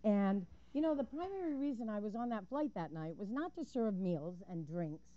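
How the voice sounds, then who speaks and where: A woman's voice: medium pitch, big pitch swings, moderate pace, no room sound, muffled recording. Older woman telling an earnest story. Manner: earnest, vivid storyteller with occasional fillers. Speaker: public commenter at a council meeting. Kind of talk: prepared public comment with personal storytelling. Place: city council chamber. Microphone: podium microphone.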